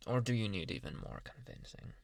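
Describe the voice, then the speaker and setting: Low gravelly male voice, slipping into whispers, possessive anime psychiatrist acted by a man, slow coaxing, softly menacing, ASMR roleplay. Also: lively intonation, dry recording. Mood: coaxing, insinuating. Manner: slow, hushed, deliberate coaxing.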